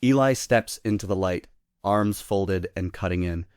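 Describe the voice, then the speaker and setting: A man serves as narrator, reading stage directions in a scripted style. His voice is very low and recorded dry, without room sound. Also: measured pace, darkish tone, smooth, no echo.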